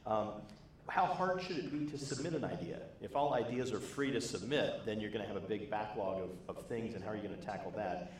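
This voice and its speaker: Measured man in an echoey room. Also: on the low side, a little hiss or hum. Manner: measured, thinking aloud in questions. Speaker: conference session presenter. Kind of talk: short summary talk. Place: large conference room. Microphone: handheld microphone.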